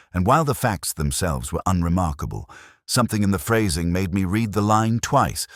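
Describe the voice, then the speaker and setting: Very deep-voiced male mystery narrator. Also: no room sound, clean studio sound. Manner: measured, reflective, literary. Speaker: narrator of a detective mystery, speaking in the first person as the inspector. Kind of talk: scripted first-person audio drama narration.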